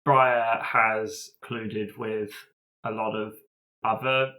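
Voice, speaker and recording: A man's voice: lowish voice, fairly even intonation, takes it slowly, pushed and bright. A man chatting casually and improvising as a player in a tabletop game. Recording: roomy, echoing sound.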